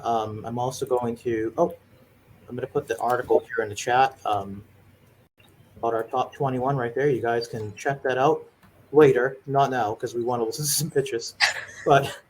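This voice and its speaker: A man hosting a live online pitch event, chatty and teasing, introducing speakers with jokes. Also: moderate pace, a little mellow, a little hiss or hum, poor audio. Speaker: event host. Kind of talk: casual live-stream hosting.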